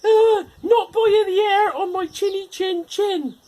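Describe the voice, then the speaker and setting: A man voicing a small, timid male pig in a storybook reading, in a high squeaky voice. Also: fairly even intonation, takes it slowly, darkish tone.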